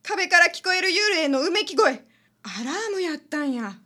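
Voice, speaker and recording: A woman's voice: extremely high pitch, big pitch swings, moderate pace, bright, forceful tone, exaggerated voice. A woman playing a blunt, inquisitive apartment tenant in a radio drama, with a Kansai accent. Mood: curious. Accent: Kansai Japanese.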